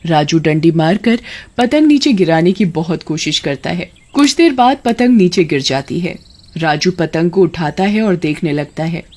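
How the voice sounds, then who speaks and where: A woman's voice: lowish voice, expressive, moderate pace, a little mellow. Woman narrating a scripted moral story.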